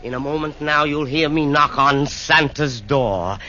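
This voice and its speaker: A man's voice: fairly high pitch, measured pace, bright, forceful tone, a little hiss or hum. An actor playing a boastful, blustering henchman of the devil, declaiming in theatrical verse in a 1940s radio play. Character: boastful rhyming devil's henchman, would-be assassin. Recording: dull lo-fi 1940s radio recording.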